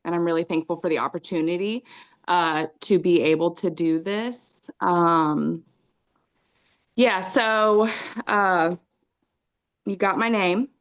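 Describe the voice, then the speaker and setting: Slow, low-voiced woman guest. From Raleigh, North Carolina; raised in Cambodia. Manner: hesitant, many ums and restarts. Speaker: podcast guest. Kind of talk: casual interview chat.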